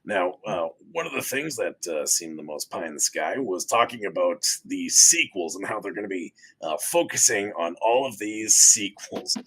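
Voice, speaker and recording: Low, animated man with a husky edge. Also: moderate pace, few pauses, low-quality recording. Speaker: host of a panel talk show. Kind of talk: casual panel commentary.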